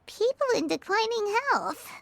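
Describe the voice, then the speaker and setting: Brief: cheeky little fairy companion for a game; very high, lively, close and dry. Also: female voice, measured pace, gravelly, no echo, full character voice. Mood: teasing. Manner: teasing, talks about herself in third person. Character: tiny floating fairy companion, chatty and cheeky. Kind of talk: game voice acting.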